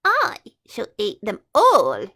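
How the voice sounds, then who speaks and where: A greedy male crocodile from a children's picture book, all schemes and gloating, with a touch of grit in the voice. A woman puts him on while reading aloud. The delivery swings wide and lively. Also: medium pitch, slow, exaggerated voice. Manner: scheming, gleeful, turns to frantic yelling. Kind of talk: storybook read-aloud voice.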